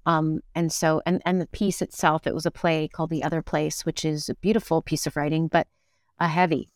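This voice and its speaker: A woman's voice: low-pitched, level tone, brisk, no echo, studio quality. A middle-aged woman talking casually and reflectively as an interview guest, searching for words and often checking in with "right?". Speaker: guest, actor, director and acting teacher.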